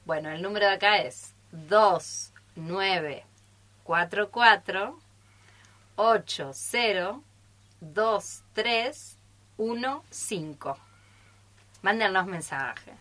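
Bright-voiced Argentine woman chatting on radio. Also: mid-range voice, very slow, long pauses. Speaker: radio theatre troupe member introducing the group. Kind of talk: informal live radio chat. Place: radio studio booth.

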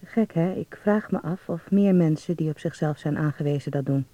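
An actor in a radio drama playing an earnest, naive young Dutch man, musing softly as he reads out his letter home. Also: on the high side, moderate pace, no echo, natural voice. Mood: confiding. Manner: confiding, musing. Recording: dull, muffled close recording.

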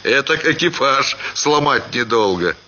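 A man voicing a genial, careless, bored country gentleman in a literary audio story. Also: medium pitch, lively intonation, measured pace, dull audio, slight background noise, pitched above the performer's own voice. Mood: amused. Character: bored, easygoing middle-aged gentleman landowner.

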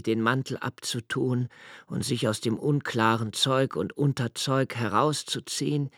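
An actor playing a brooding, solitary diarist in a radio play, with a measured, introspective delivery and an anguished, searching temper. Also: male voice, medium pitch, fairly bright tone, dry recording, clean studio sound. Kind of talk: literary monologue in a radio play.

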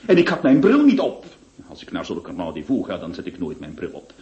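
An actor playing a panicky, flustered gentleman who stammers and exclaims in a Dutch-language radio play. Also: sits low, huge pitch swings, brisk. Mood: rueful, flustered. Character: panicky near-sighted gentleman in trouble over a duel. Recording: dull lo-fi radio recording.

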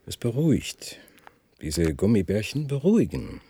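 A man's voice: on the low side, very animated, unhurried, dark tone, slightly rough, no room sound, heavily acted. An actor playing a curt, pressing man who probes for answers in a radio drama. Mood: probing. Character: pressing, curt man questioning an expert, offering sweets. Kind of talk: German radio drama acting.

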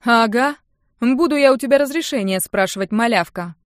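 A woman's voice: on the high side, normal speed, no room sound, voice pushed up, natural voice. A woman narrator acts out a touchy young uncle in an audiobook. He is sarcastic and bossy, firing off mocking retorts in a raised, emphatic voice, recorded very dry.

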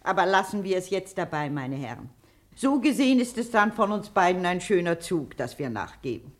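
A domineering older woman in a radio play, sharp-tongued and self-critical. Her delivery is theatrical and articulate, with pitch that swings widely from phrase to phrase. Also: sing-song, a little fast. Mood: haughty, brisk. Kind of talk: German radio play acting.

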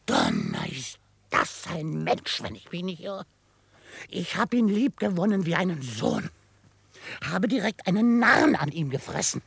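A man playing a quirky old frontier trapper in a radio drama, excitable and grumbling, gruff but fond, with a crackly voice. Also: high-pitched, unhurried, no room sound, full character voice. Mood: exasperated fondness. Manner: excitable, grumbling, chuckling, theatrically indignant. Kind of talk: German radio drama acting.

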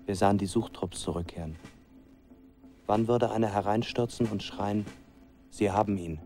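Low, mellow working villager played by a man. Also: normal speed, faint music behind, natural voice. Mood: expectant. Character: plain-spoken working villager remembering a fugitive. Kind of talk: narration in a German radio drama.

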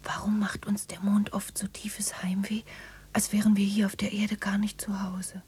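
A woman playing a dreamy, tender young woman in a German radio play, speaking in hushed whispers. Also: mid-range voice, little pitch movement, moderate pace, slightly rough.

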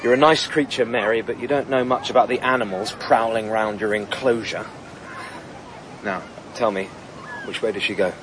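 An actor playing a bitter, resentful outsider in a radio drama, sardonic in manner. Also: male voice, fairly low pitch, steady tone, moderate pace, over a noisy crowd, lightly acted, low-quality recording. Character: Latimer, bitter, resentful outsider young man. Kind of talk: BBC radio drama acting.

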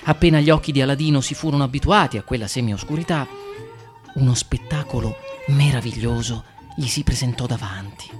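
A man reading a fairy tale aloud in expressive storytelling narration. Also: mid-range voice, a little fast, music in the background. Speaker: guest reader of fairy tales.

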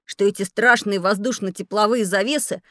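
A woman's voice: mid-range voice, steady tone, normal speed, sharp tone, dry recording, studio quality, close to an everyday voice. A woman playing a cheeky, talkative little boy in a scripted educational audio play. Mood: eager, boastful. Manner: eager, boastful.

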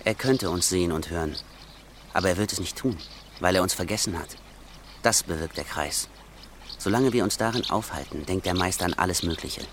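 A man playing a shrewd, secretive mill hand who passes for a simpleton, speaking in a deliberate, knowing radio play manner. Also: sits low, fairly bright tone, slight background noise. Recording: music clearly behind the voice.

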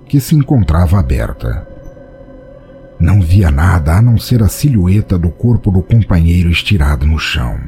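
A man's voice: deep voice, warm tone. A man with a Brazilian accent narrating a dramatic scripted audio story.

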